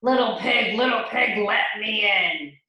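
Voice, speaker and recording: A woman voicing a sly, menacing big bad male wolf who calls out loudly and drags out his growling threats. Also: medium pitch, noisy background, lightly acted. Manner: loud drawn-out calling, growling threats. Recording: echoey room.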